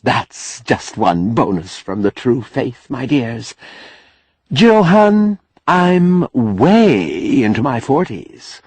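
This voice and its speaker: A man's voice: mid-range voice, sing-song, drawn-out words, a little mellow, no room sound, voice pushed up, exaggerated voice. A man voicing a cheerful, brassy middle-aged woman from a novel, with a folksy, affectionate, teasing manner. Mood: proud, jolly. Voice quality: husky.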